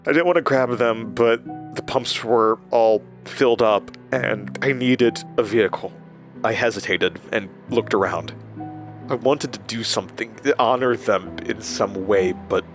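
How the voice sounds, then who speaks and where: An actor playing a haunted, weary man confiding his supernatural ordeal in a halting horror audio drama monologue. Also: on the low side, natural voice. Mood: weary, driven. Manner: halting, confessional, dramatic pauses. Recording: music behind the voice.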